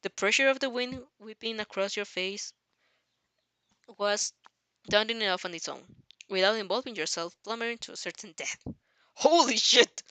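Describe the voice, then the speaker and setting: Bright-voiced woman narrating fan fiction. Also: mid-range voice, clean tone, no echo. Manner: halting, often repeats phrases. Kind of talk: dramatic second-person story narration.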